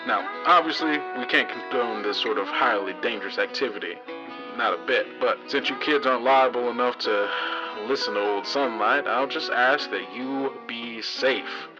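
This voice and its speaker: A man performs a folksy post-apocalyptic radio host, an easygoing old pal with a wry, fatherly streak. The broadcast sounds band-limited and lo-fi, and guitar music plays clearly behind the voice. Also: medium pitch, moderate pace, natural voice. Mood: wry, caring. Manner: folksy, easygoing, drawling storyteller phrasing. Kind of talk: in-character radio broadcast monologue.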